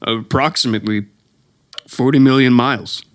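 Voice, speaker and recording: A skeptical professor of astronomy acted by a man in a 1930s-style radio play. His voice is mid-pitched, with a scholarly, matter-of-fact and slightly hesitant delivery. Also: fairly even intonation, normal speed, close to an everyday voice. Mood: dismissive, scholarly. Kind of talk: 1930s radio drama interview.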